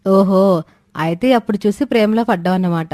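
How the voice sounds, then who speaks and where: Man putting on a high young woman's voice, forward, playful, storybook cousin. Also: a little fast, darkish tone, no echo, studio quality, lightly acted.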